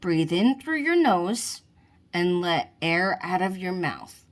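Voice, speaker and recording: A woman voicing a gentle young sea child in a children's storybook, giving soothing, guiding instructions. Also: lowish voice, big pitch swings, takes it slowly. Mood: calm coaching. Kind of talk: children's storybook character reading.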